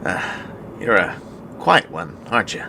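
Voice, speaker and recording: Good-natured soldier hero, male actor, audio drama, expressive intonation, casual, noticeable ambience behind. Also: deep voice, measured pace, gravelly, exaggerated voice. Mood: friendly, curious. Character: earnest, curious young American army captain. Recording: noisy background ambience.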